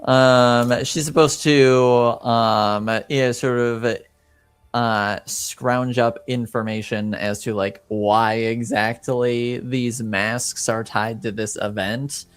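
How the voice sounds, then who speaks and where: Male game master, slow and hesitant, smooth lowish voice, soft music underneath. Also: steady tone, no echo. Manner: hesitant, halting delivery with long pauses. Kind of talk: tabletop roleplaying game narration and in-character dialogue.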